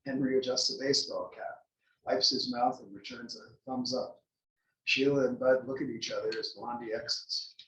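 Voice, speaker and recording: A man's voice: mid-range voice, fairly even intonation, normal speed, fairly bright tone. A man reading scripted stage directions as the narrator at a play table read. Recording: echoey room, distant mic.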